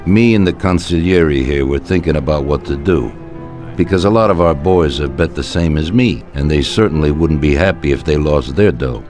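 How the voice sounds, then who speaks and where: An actor playing an older Italian-American mafia boss in a video game, speaking in a deliberate, colloquial, authoritative way. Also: male voice, rumbling low, a little mellow, faint music behind. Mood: calm, commanding. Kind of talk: video game cutscene dialogue.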